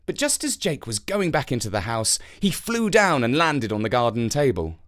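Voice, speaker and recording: A narrator reading a scripted children's story with expressive, deliberate phrasing. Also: mid-range voice.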